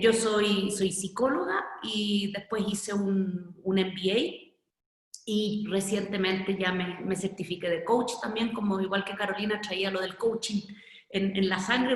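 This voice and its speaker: A woman's voice: mid-range voice, steady tone, a little slow. A woman from Chile in her forties, speaking as an HR director on an online panel, earnest and reflective, often restarting her phrases. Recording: echoey room with long reverb.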